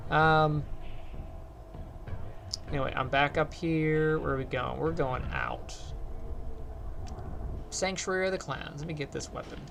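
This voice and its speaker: A man's voice: creaky, a little hiss or hum. Light-voiced man chatting over gameplay. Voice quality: higher-pitched, light voice. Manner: rambling, chatty, talks to chat while playing. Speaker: streamer. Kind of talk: casual gameplay commentary.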